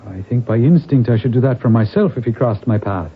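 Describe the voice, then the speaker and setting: A man's voice: mid-range voice, expressive, a little fast, breathy edge. An actor playing a proud, rash Irish nobleman and father in a radio drama, speaking in a grave, dramatic manner. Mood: curious, guarded. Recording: muffled narrow-band radio broadcast recording.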